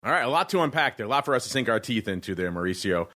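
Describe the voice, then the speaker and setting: A man's voice: mid-range voice, very animated, racing, bright, forceful tone. A man hosting a podcast, introducing and questioning a guest in polished, wordy broadcaster phrasing. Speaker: podcast host. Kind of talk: scripted show host talk.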